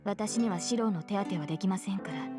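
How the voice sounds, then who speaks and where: A Japanese woman voicing a calm, dignified young female knight in a visual novel, formal and polite, with music behind. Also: mid-range voice, very fast, close to an everyday voice. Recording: prominent game music behind.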